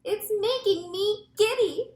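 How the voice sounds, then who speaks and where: Woman as a high, pleading giant lady spider. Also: slow pace, darkish tone, echoey room. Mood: pleading, giddy. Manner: plaintive pleading. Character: giant female spider, dizzy, anxious, pleading.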